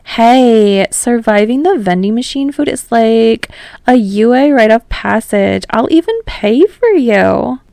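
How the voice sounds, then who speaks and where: A woman voicing a frank, caring, bossy teenage girl in an audio story, with a casual, emphatic delivery and drawn-out words. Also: fairly high pitch, lively intonation, gravelly, warm tone, no room sound, voice pushed up, heavily acted. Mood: upbeat.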